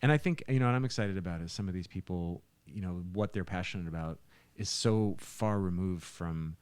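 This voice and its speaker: Deep-voiced man chatting on a podcast. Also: fairly quick, a little mellow, clean tone, no echo. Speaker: podcast host and musician. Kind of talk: casual podcast conversation.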